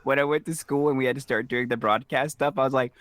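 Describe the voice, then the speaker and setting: A man's voice: medium pitch, fairly even intonation, quick pace, a bit bright, no room sound. A man from the Southern US co-hosting a podcast, chatting casually and laughing often. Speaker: podcast co-host. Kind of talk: casual movie recap chat.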